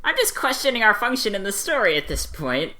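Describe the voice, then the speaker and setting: A woman's voice: medium pitch, sing-song, brisk, sharp tone. A woman in improvised comedy banter, speaking in a hesitant, questioning manner.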